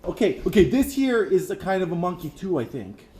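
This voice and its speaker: A woman's voice: fairly low pitch, big pitch swings, fairly quick, mellow. A woman voicing a goofy, childlike puppet who co-hosts a kids' show with silly broken grammar and playful improvised chat. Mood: gently curious. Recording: roomy echo, noticeable background noise.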